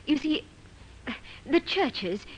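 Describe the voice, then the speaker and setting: An actress playing a genteel, fluttery, sweetly evasive widow in a radio drama, with a hesitant, gracious manner. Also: female voice, sits high, sing-song, drawn-out words, exaggerated voice. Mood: plaintive. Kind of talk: old-time radio drama acting. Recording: dull, noisy vintage radio recording.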